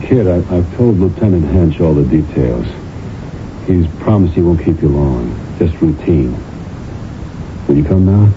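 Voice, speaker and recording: An actor in a radio drama playing a protective, worried husband, speaking in a halting, pleading and exasperated way. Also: male voice, low voice, lively intonation. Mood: gentle, concerned. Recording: tinny, hissy old radio broadcast.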